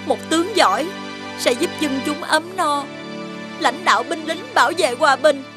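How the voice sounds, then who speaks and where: A woman acting a headstrong young cartoon princess with a high voice. She is earnest and protesting, with music clearly audible behind her. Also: normal speed, lightly acted. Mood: defiant, pleading. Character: headstrong young princess, dutiful yet defiant daughter. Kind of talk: animated fairy tale acting.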